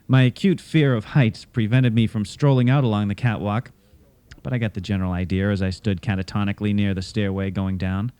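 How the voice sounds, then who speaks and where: A man hosting a radio theatre show, delivering scripted, literary narration in a measured, dramatic way. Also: lowish voice, steady tone, warm tone, clear, resonant tone, no room sound. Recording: cassette of radio show, music behind.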